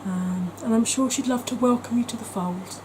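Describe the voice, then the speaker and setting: A woman's voice: fairly high pitch, moderate pace, background noise. A woman talking in a chatty, gushing way as a beauty vlogger, with frequent ums. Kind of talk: casual vlog chat.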